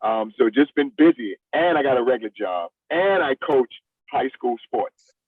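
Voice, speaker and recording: A man's voice: mid-range voice, expressive, moderate pace, husky, no room sound, phone-quality sound. Middle-aged man on a thin, distant line. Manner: colloquial, addresses listener as "man". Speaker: actor, interview guest. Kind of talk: casual interview conversation.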